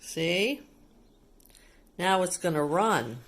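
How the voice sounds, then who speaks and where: A woman's voice: on the low side, animated, very slow, a little hiss or hum. A woman reading a picture book aloud to young children like a teacher, explaining things simply and asking them questions.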